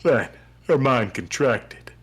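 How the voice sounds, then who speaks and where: A man's voice: mid-range voice, animated, takes it slowly, a bit bright, slightly rough. A man streaming a game, reading its narration aloud theatrically and chatting about it. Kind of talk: dramatic read-aloud of game narration mixed with joking chat.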